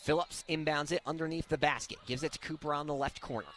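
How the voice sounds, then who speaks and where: A young man calling live basketball play-by-play as a radio announcer, with an excitable delivery that swells on big plays. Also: on the high side, fast talker, a little hiss or hum. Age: young adult. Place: courtside at indoor basketball game.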